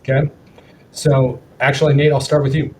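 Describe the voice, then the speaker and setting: A man with a mid-pitched voice facilitates an online group call. He speaks casually and haltingly, thinking aloud as he goes. Clear noise sits behind his voice on the call. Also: little pitch movement, darkish tone, low-quality recording. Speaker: working group meeting facilitator. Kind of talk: casual online meeting talk.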